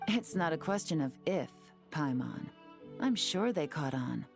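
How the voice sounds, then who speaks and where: A woman's voice: deep voice, lively intonation. A woman playing a cool, confident and shrewd intelligence agent in a game, speaking in a composed, assured way. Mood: confident, calculating. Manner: composed, assured, measured explaining. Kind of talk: game character dialogue. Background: faint music.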